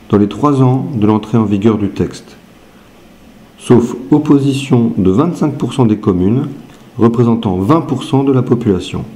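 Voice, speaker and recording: Mellow-voiced man reading prepared statements. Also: mid-range voice, a little hiss or hum. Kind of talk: scripted reading of written statements.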